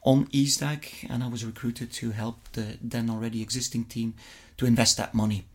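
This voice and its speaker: A man's voice: mid-range voice, level tone, fairly quick. Middle-aged Flemish Belgian man in interview. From Flemish-speaking Belgium. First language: Dutch. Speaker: interview guest, investment fund executive. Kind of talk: conversational interview answers.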